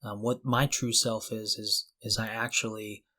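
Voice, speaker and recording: A man's voice: lowish voice, flat delivery, measured pace, pushed and bright. A man in his forties co-hosting a podcast in a casual, conversational way, thinking aloud in loose, hesitant phrases. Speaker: podcast co-host.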